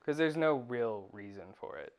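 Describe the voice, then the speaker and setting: Woman, very low voice, smooth and resonant, light Southern accent, relaxed podcast chat. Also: normal speed. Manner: relaxed, laughs often. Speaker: podcast co-host. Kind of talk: casual chat.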